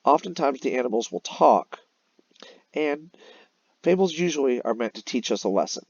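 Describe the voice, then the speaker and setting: A man's voice: mid-range voice, lively intonation, moderate pace, a little mellow, breathy edge, no room sound, dull audio. A man teaching young children in an explanatory way, as in a recorded read-aloud lesson. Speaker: elementary teacher. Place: voice-over on a screen-recorded slide lesson.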